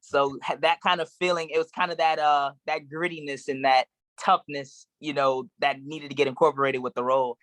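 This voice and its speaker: A young man from Maryland answering interview questions in a relaxed, rambling way with plenty of fillers. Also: fairly high pitch, normal speed, dry recording. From Maryland, USA. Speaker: guest actor.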